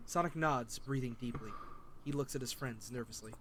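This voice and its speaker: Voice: medium pitch, normal speed, noisy background, close to an everyday voice. A narrator reading a comedic fan dub script as dramatic storytelling. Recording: music behind the voice.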